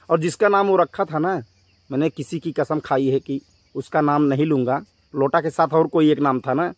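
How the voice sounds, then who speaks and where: A man speaking as a vlogger in a confrontational rant to his viewers, scolding and emphatic, often repeating himself. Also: a little high. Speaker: YouTube vlogger addressing viewers.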